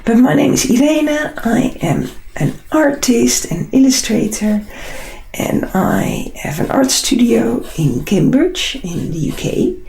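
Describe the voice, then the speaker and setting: Husky, creaky Dutch-accented woman. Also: on the high side, lively intonation, slow, a little mellow, roomy sound. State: unwell, struggling hoarse voice. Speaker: artist hosting a live drawing session. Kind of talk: casual live tutorial chat.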